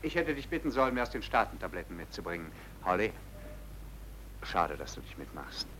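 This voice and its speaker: An actor playing a suave, smugly amoral racketeer in a vintage radio play, with a nonchalant, theatrical delivery. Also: male voice, deep voice, sing-song, brisk, noisy background. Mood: breezy, philosophizing. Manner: theatrical, nonchalant, swinging from muttering to declaiming.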